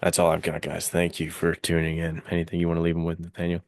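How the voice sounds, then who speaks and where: A man's voice: deep voice, monotone, fast, a little mellow. A man co-hosting a podcast in casual chat, speaking encouragingly and directly to listeners. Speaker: podcast co-host.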